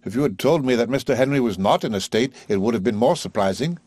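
A man's voice: medium pitch, expressive, quick pace, a little mellow, no echo, voice pushed up, close to an everyday voice. A man voicing a composed, drily reproving older woman. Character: calm, dry-witted older mother, composed.

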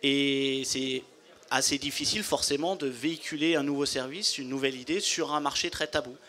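A man's voice: medium pitch, steady tone, pushed and bright. Businesslike man at a trade fair booth. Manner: measured, explanatory, businesslike. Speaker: company founder. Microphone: handheld mic.

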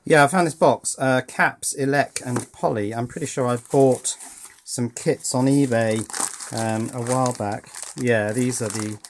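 A man's voice: medium pitch, steady tone, unhurried, a little hiss or hum. British man thinking aloud, casual and hesitant. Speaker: electronics hobbyist presenter. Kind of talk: casual hands-on project narration. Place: indoors at a workbench.

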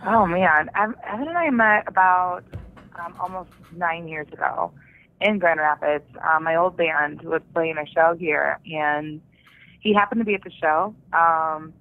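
A woman from the Detroit area answering interview questions over the phone, relaxed and halting. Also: fairly low pitch, dry recording. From Detroit area, Michigan. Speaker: vocalist, interview guest. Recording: tinny phone line.

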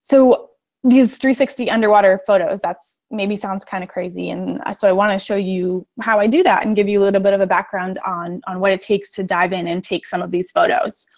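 A young adult American woman presents to students in a casual, explanatory way. Her voice sits at an average pitch for a woman. She walks through things step by step in plain, simple language. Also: steady tone, brisk, gravelly, darkish tone. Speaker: photographer and cave diver giving a classroom talk. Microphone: live stream video call.

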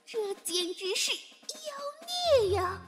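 A woman playing a naive, excitable, starstruck young girl in a comic audio drama. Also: very high-pitched, extremely slow, heavily acted. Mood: excited. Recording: loud music behind the voice.

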